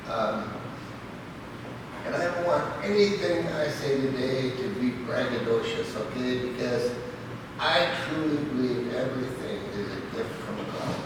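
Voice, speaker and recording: An older man giving a folksy, chuckling talk to a club meeting, with many fillers and a habit of adding "okay?". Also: mid-range voice. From Michigan, raised in Southern California. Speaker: guest speaker at a club meeting. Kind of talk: informal anecdotal talk. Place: large echoey meeting hall. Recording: echoey hall from far off with noise.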